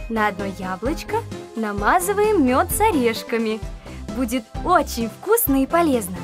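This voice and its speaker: A woman narrating a simple recipe segment for children in a friendly, sing-song way. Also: high voice. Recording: voice-over with music.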